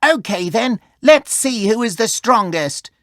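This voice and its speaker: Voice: medium pitch, lively intonation, unhurried, a bit bright, no echo, clean studio sound, pitched above the performer's own voice. A storybook narrator voicing a plucky, friendly little male cuddly toy. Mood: eager, determined.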